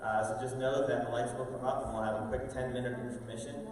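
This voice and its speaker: A man hosting a live show, giving an informal, halting welcome speech to an audience with drawn-out pauses. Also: medium pitch, flat delivery, a little mellow. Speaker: host, school fine arts director introducing a show. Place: large indoor room with stage and audience tables. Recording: very echoey hall, distant mic, faint noise.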